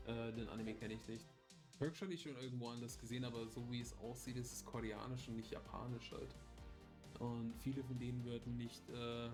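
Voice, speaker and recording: Rambling casual man over music. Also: mid-range voice. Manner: loose, filler-heavy, restarts sentences. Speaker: reaction video host.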